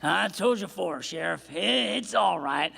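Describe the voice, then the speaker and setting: A man playing an impatient, grumbling Old West rancher with a gravelly voice in a live radio play in an echoey hall. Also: high voice, lively intonation, bright, forceful tone, full character voice. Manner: folksy drawn-out cowboy grumbling.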